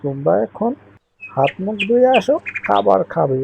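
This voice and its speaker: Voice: medium pitch, expressive, moderate pace, gravelly, highs cut off. A voice actor playing a kind, trusting female songbird who talks in a reassuring, welcoming way. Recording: noisy recording.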